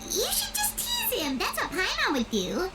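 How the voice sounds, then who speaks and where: A woman voicing a tiny, childlike, chirpy and nosy fairy companion in a video game, blunt and squeaky. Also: huge pitch swings, normal speed, exaggerated voice, rough recording. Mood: curious, cheeky. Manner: squeaky, blunt, refers to herself by name. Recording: game dialogue under loud music.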